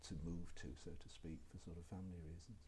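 A man's voice: low voice, little pitch movement, fast talker, mellow, a little hiss or hum. An older man, a former university lecturer, recalling his career in a reflective, hesitant way full of ums. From England. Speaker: retired lecturer being interviewed. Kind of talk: oral history interview.

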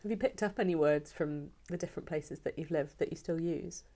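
A woman interviewing someone for an oral history project, asking gentle, polite questions. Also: sits low, brisk.

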